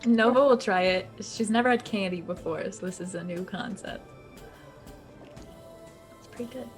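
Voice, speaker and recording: A woman's voice: mid-range voice, moderate pace, faint music behind. A woman chatting casually as a player in a tabletop game.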